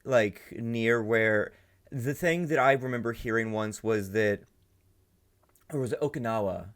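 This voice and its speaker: A man's voice: mid-range voice, a little slow. A young man hosting a reaction video, commenting casually and rambling as he thinks aloud. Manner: rambling, many fillers and restarts, thinking aloud. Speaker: reaction channel host.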